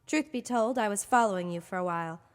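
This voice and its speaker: A woman's voice: medium pitch, quick pace, clean tone, natural voice. A woman plays a tough, flirtatious dame in a vintage radio crime serial. Her mid-range voice is poised and teasing. It rings out in a long hall-like reverb. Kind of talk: 1940s radio acting.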